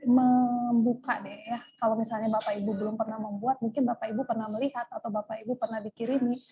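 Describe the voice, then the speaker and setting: High-voiced Indonesian woman on dull call audio. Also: mellow. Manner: repeatedly checks with listeners using "ya". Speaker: online workshop trainer. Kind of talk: informal screen-share tutorial on a video call. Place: online video call.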